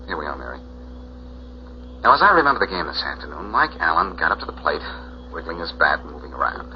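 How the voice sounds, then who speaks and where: A man's voice: rumbling low, sing-song, quick pace. A male actor playing a cocky, wisecracking amateur sleuth with a glib, teasing manner in 1940s radio detective style. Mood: brisk, explaining. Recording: dull, noisy 1940s radio recording.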